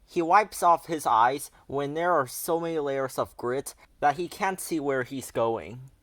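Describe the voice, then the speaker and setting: A man's voice: on the high side, unhurried, fairly bright tone, no echo. A man narrating a children's picture book aloud as a storyteller.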